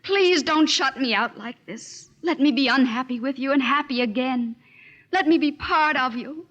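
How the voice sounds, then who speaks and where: An actress playing an earnest, devoted and anxious young wife in a 1940s-style radio drama, speaking tenderly and often softly. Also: female voice, sits high, normal speed. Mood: earnest, pleading. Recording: dull old radio recording, music behind.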